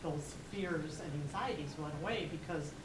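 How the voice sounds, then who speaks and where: A woman in the audience making a measured, emphatic point. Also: low-pitched. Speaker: audience member. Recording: echoey room, distant mic, clear noise.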